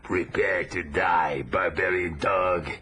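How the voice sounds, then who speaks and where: A man playing a monstrous, raging brute of a pit fighter, making drawn-out menacing threats in a 1980s audio drama. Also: low-pitched, fairly even intonation, crowd noise. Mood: menacing rage.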